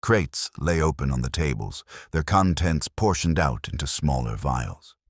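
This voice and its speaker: A man reads scripted detective fiction in a very deep voice. His delivery is measured and solemn, yet rises and falls dramatically. The recording is close and dry. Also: animated, husky, dry recording. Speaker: audiobook narrator. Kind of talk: scripted first-person detective story narration.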